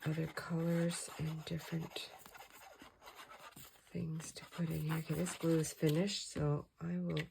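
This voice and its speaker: A middle-aged woman with a hoarse voice chatting in a relaxed, rambling way as she crafts at her table late at night. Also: deep voice, unhurried, a little hiss or hum. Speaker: crafting channel host. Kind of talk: casual crafting chat.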